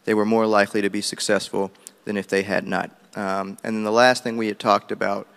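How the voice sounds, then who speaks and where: Male group spokesperson, lowish and flat, matter-of-fact, listing points for a meeting. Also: brisk, gravelly. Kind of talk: informal spoken report to a meeting.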